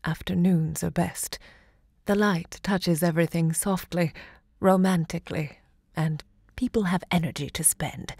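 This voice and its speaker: Elegant self-assured salon lady, lowish voice, very dry sound, audio drama, savours her words. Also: fairly even intonation, a little slow, vocal fry, a little mellow, husky, no room sound, heavily acted. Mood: poised, instructive. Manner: poised, theatrical, savouring each word. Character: elegant, self-assured literary salon hostess, sapphic bohemian.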